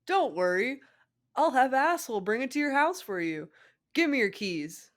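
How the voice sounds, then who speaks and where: A woman's voice: sits high, very animated, moderate pace, pitched above the performer's own voice. A woman voicing a fiercely loyal, blunt teenage boy in a fan fiction reading, with an emphatic, reassuring manner. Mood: brash, reassuring.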